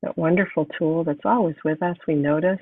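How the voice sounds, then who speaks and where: A woman hosting a podcast, calm and unhurried as she guides a meditation, sounding nasal from a cold. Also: sits low, slightly rough, no echo. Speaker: podcast host. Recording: thin phone-like sound, distant from mic.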